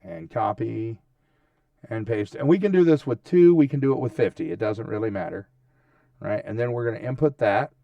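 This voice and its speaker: A man's voice: medium pitch, expressive, moderate pace, vocal fry, no room sound, far from the mic, muffled recording. A man hosting a casual screen-share tutorial, talking through each step informally and often checking in with a short tag question. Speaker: tutorial host.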